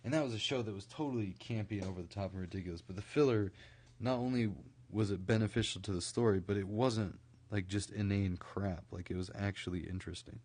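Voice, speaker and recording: A young man from Idaho, rambling casually on a late-night stream, full of "like" fillers, his voice a little scratchy from a recent cold and kept quieter than usual. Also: lowish voice, quick pace, slightly rough. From Idaho, USA. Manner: rambling, many "like" fillers and restarts. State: slightly scratchy from recent illness.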